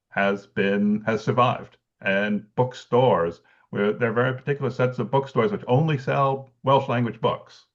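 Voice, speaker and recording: Gravelly low-voiced man on a dull call line. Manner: thoughtful, many restarts and ums, laughs lightly. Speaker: bookselling researcher guest. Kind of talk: conversational explanation.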